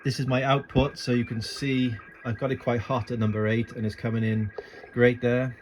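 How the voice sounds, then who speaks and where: A man's voice: mid-range voice, little pitch movement, normal speed. Informal man in a noisy phone recording. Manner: informal, hesitant, thinking aloud. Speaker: amateur radio hobbyist making a tutorial video. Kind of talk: casual unscripted screen and gear walkthrough. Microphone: handheld phone filming the setup.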